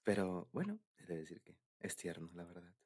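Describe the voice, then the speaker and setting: Young male, Mexican accent, deep and breathy, for shy, intimate boyfriend-style ASMR talk. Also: sing-song, moderate pace, darkish tone. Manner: bashful, hesitant, giggly. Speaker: ASMR roleplay creator.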